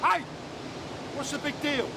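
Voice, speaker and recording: An actor playing an impatient, sarcastic veteran scaffolder who dismisses rules, in a naturalistic training film drama. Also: male voice, very high voice, unhurried, heavy background noise. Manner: blunt, sarcastic, defensive.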